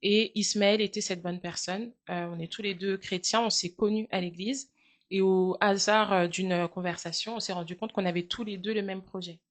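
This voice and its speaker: A French woman in her thirties answering interview questions, speaking in a measured, earnest way with frequent hesitant fillers. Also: medium pitch, steady tone. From France. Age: 30s. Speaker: guest, charity founder.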